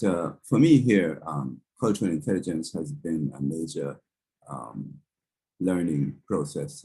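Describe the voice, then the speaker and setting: A man from Canada welcomes an online audience in a very low, husky voice. His tone is mellow and dark, and he pauses often on soft ums. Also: rough recording. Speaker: panel co-host. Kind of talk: casual online panel talk.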